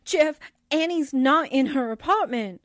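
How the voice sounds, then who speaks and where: A woman playing a feisty, excitable young sidekick in a 1940s style radio drama, urgent and slangy. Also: sits high, measured pace, no room sound, clean studio sound.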